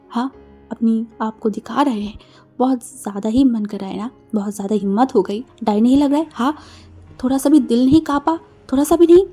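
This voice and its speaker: Woman doing a jealous man tearing into his partner, high mellow voice, faint music behind. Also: close to an everyday voice. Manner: scolding, repeating questions. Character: angry possessive man scolding his lover.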